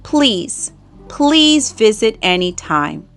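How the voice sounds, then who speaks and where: A woman voicing a timid, polite male country mouse in a children's storybook, with an earnest, gentle manner. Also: medium pitch, sing-song, drawn-out words, faint music behind, exaggerated voice. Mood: warm invitation.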